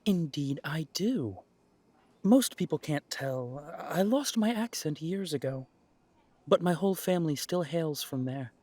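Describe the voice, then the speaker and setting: A man's voice: on the high side, lively intonation, unhurried, warm tone, no echo. A man playing a polite, composed, peace-loving cafe owner in a fantasy audio drama. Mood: composed, open. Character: Trevelyan, courteous peace-loving cafe owner from a magical family. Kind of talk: audio roleplay drama acting.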